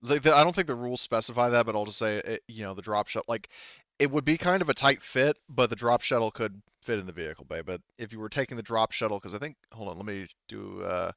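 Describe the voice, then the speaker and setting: A man's voice: fairly low pitch, fast talker, a bit bright, no echo, lo-fi sound. A man acting as game master, casually explaining tabletop game rules and often stopping himself to double-check. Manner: hesitant, self-interrupting, often double-checking.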